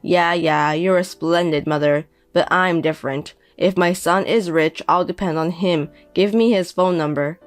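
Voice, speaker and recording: A woman voicing a 57-year-old indebted deadbeat father who pleads and pities himself, speaking slowly. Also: fairly low pitch, steady tone, dry recording, soft background music, natural voice. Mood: pleading. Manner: pleading, self-pitying, slow.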